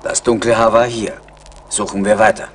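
Casting: male actor for young Mohican tracker in radio drama, solemn, measured, low voice, faint noise behind. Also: level tone, lightly acted. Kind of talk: German radio play acting.

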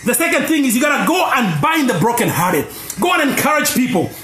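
High-voiced impassioned male preacher. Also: big pitch swings, brisk. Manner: impassioned, often shouting and rising in pitch. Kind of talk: sermon. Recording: roomy echo with faint music behind.

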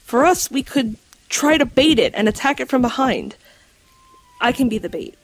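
A woman playing an earnest, scholarly guide to mythical creatures in an audio drama, explaining in a measured, slightly formal way. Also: high voice, expressive, no echo. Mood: resolute. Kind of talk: audio drama acting, storytelling. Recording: music under the voice.

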